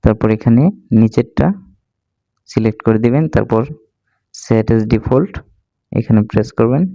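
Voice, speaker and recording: Male tutorial host, very even intonation, soft dark tone, instructional step-by-step delivery. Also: fairly low pitch, a little slow, gravelly, dry recording, poor audio. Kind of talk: step-by-step screen tutorial narration.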